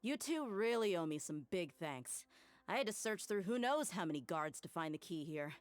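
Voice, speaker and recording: A woman acts out a tough, good-hearted mercenary in an anime game. The voice is notably low for a woman, with blunt, casual phrasing and an easy swagger. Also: lively intonation, moderate pace, no room sound, close to an everyday voice. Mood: weary, boastful.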